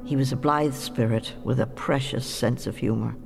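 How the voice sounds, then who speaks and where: An actor in a radio drama playing a grieving friend who gives a solemn, tender eulogy. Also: male voice, mid-range voice, fairly even intonation, normal speed, gravelly, long reverb tail, soft background music, lightly acted.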